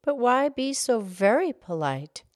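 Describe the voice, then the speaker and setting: A woman voicing a calm, kindly father who speaks mildly. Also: fairly high pitch, a little slow, no room sound, clean studio sound, voice pushed up.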